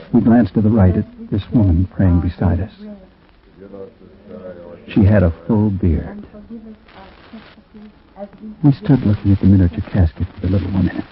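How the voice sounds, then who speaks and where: An actor playing a troubled man who narrates an eerie story in 1940s radio drama style, reflective and conversational with hesitant asides. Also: low voice. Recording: tinny, muffled 1940s radio recording.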